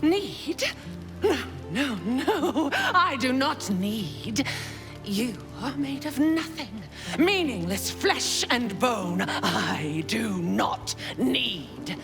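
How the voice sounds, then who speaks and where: A woman's voice: on the high side, very animated, drawn-out words, over music, heavily acted. An actress playing a gleefully bloodthirsty shapeshifting assassin cultist in a video game, theatrical and taunting, savoring her words and slipping into whispers. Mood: mocking, agitated. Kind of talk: dramatic video game villain acting.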